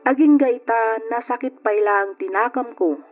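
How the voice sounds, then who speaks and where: An actor playing a wistful father narrating reflectively in the first person for a radio drama, in a measured way. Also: extremely high pitch. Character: parent reflecting sorrowfully on raising a child. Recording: dull lo-fi recording under music. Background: music.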